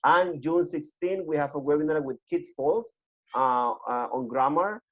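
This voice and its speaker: A man hosting a webinar on a video call, giving informal closing announcements in a halting way and repeating himself. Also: on the high side. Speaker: webinar host. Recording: muffled video call audio.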